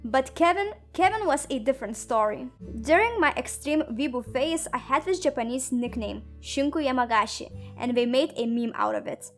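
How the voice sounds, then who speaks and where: Young woman, high voice, sarcastic storytime narration, soft music behind. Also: lively intonation, normal speed. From Lithuania. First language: Lithuanian. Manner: sarcastic, dramatic and self-mocking. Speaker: animator and narrator. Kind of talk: comedic animated storytime narration.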